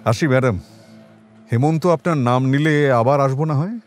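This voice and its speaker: A man's voice: medium pitch, normal speed, faint music behind, close to an everyday voice. A man playing a seasoned detective near retirement in an audio drama, wry, humane and musing in a conversational way. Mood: probing. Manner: musing, conversational, gently ironic. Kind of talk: Bengali audio drama acting.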